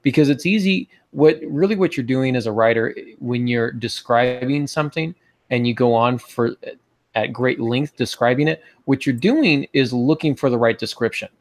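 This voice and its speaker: Podcast host: American male, forties, creaky voice, informal teaching style. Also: medium pitch, normal speed. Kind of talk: casual instructional podcast talk. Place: small home office, indoors. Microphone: earbud headset on video call.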